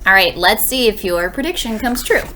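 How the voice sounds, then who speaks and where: A woman teacher reading a children's story aloud with dramatic pauses, speaking directly to young listeners. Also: mid-range voice, lively intonation, pushed and bright, a little hiss or hum.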